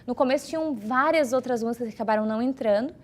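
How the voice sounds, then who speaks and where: A woman's voice: fairly high pitch, fast. A woman, an actress talking in an interview about a theatre production. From Brazil. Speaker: stage actress.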